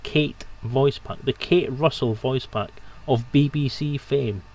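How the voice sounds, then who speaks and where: A man's voice: mid-range voice, slow pace, darkish tone, low-quality recording. A man hosting a live stream in casual, bantering, sweary chat. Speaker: stream host.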